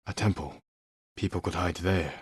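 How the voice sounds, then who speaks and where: An actor playing a stoic, honorable samurai warrior in a video game, weary and grave, speaking in a measured, restrained and protective manner. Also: male voice, deep voice, slightly rough, no echo, studio quality. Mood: grave, resolute. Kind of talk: dramatic video game voice acting.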